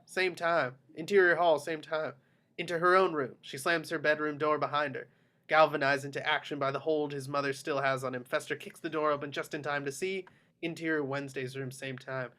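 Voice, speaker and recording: A man's voice: a little high, fairly bright tone. A man reading screenplay action descriptions aloud as narrator in a table read.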